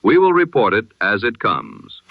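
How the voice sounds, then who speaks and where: A man presenting a scripted news bulletin in the style of 1920s radio, with a measured, formal, solemn delivery. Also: fairly low pitch, huge pitch swings, vocal fry, no room sound, dull audio.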